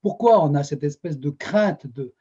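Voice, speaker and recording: A man's voice: on the high side, expressive, normal speed, warm tone, breathy edge, highs cut off. A male interviewer asking earnest, searching questions, often restarting his sentences.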